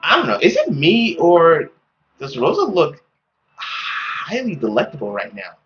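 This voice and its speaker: A man's voice: fairly high pitch, wildly swooping pitch, unhurried, fairly bright tone, highs cut off. A young man hosting a game playthrough with casual commentary. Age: young adult. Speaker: gaming video host. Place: small room indoors.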